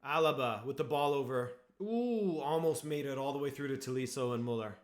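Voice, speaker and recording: A man's voice: mid-range voice, a little fast. A man hosting a live football watchalong stream, chatting casually with viewers and reacting to the match. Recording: echoey room.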